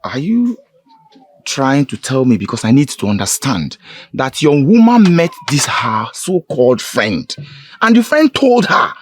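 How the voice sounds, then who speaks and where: A man's voice: medium pitch, sing-song, a little slow, a little hiss or hum. An actor playing an anxious young man who protests his innocence to his friends. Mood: defensive.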